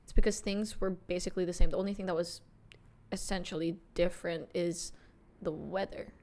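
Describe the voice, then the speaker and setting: A woman's voice: on the low side, steady tone, a little fast, warm tone. A woman in her twenties from the Philippines, chatting casually as a podcast co-host and often searching for words. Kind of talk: casual chat.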